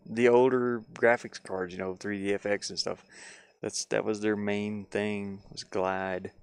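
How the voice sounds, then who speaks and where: A man with a fairly low voice and a lot of gravelly fry talks casually as a live streamer. He muses out loud and rambles, asking himself questions as he goes. He is speaking into a headset. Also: dry recording. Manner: rambling, musing, self-questioning. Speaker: live streamer learning game design. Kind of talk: casual livestream chat, thinking aloud.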